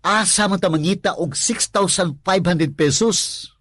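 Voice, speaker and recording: A man playing an easygoing, money-strapped working husband in a Filipino radio drama, husky and questioning, with a Filipino accent. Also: sits high, a little slow, a bit bright, no room sound, clean studio sound, heavily acted. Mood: alarmed.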